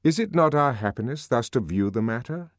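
A man's voice: medium pitch, expressive, brisk, no echo, voice pushed up, lightly acted. Man acting authoritative world controller, wry, genial, lecturing, very varied intonation, dry close sound. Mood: solemn reading. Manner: genial, lecturing, drily teasing. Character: urbane, wry, authoritative male world controller, amused intellectual.

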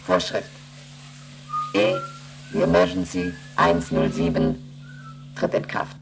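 An actress playing a female ship's computer in a German radio play, reciting emergency readings in a clipped, procedural monotone. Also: a bass voice, slow, vocal fry, loud music behind the voice, full character voice, rough recording. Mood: impassive, procedural.

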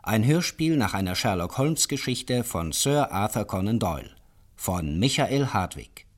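A radio announcer, a man, reads a formal announcement slowly and solemnly, stressing each word. His lowish voice rises and falls widely. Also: sing-song. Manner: slow, solemn, emphatic.

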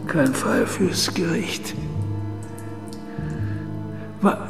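An actor playing a powerful man cornered by blackmail, shaken and desperate, stammering and breathy in a radio drama. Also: a little high, lively intonation, drawn-out words. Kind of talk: German radio drama acting. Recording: echoey hall reverb, music underneath.

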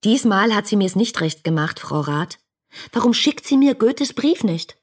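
A woman's voice: medium pitch, animated, a little slow, slightly rough. An actress playing a passionate, dreamy young woman in a German radio play, reading her letters in a lyrical, intimate, fervent manner. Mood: indignant.

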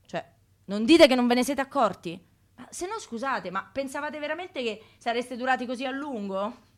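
Woman as high-pitched, chatty infernal receptionist. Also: expressive, moderate pace. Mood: gushing, friendly. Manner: gushing, familiar, helpful. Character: chatty, helpful female receptionist of the damned lustful. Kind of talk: radio comedy sketch.

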